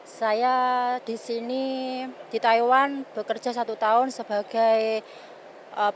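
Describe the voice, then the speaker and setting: A woman who works as a domestic worker, answering a street interview slowly and carefully with fillers. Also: a little high, slight background noise.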